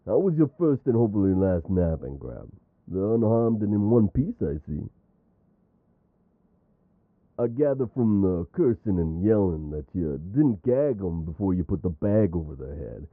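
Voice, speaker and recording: Man acting as an animated, exasperated mafia boss. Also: deep voice, moderate pace, no echo, phone-quality sound, voice pushed down. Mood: dry, wry. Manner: wisecracking gangster slang, saying "yous" and "doll". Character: exasperated, chatty male mafia boss, courteous but foul-mouthed. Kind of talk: ASMR roleplay acting.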